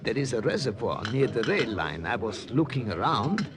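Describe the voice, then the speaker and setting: An actor playing a mild, cautious professor working as an undercover spy, speaking hesitantly in a 1950 radio drama. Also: male voice, medium pitch, sing-song, moderate pace, over a noisy crowd, natural voice. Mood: conspiratorial. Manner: hesitant, careful. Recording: vintage radio recording, loud music behind.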